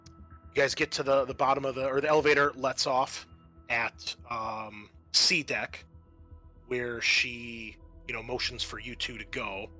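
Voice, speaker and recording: A man's voice: medium pitch, fairly even intonation, unhurried, pushed and bright, soft background music. A man running a tabletop game as game master, narrating in a casual, conversational way.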